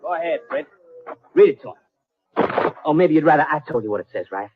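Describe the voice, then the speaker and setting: A man's voice: a little high, animated, moderate pace, natural voice. Man acting a cocky young cowboy on tinny radio. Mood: taunting. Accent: American. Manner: taunting, folksy. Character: cocky, defiant young cowboy, a hot-headed younger brother. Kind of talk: old-time radio Western acting. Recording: tinny old radio recording, background noise.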